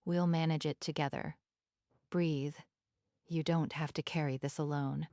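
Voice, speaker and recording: A woman's voice: low voice, fairly even intonation, a little slow, mellow, no echo, clean studio sound. A woman playing a caring, protective young confidante in a manga dub, speaking earnestly with concern over music. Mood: reassuring. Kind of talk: animated manga dub acting. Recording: music under the voice.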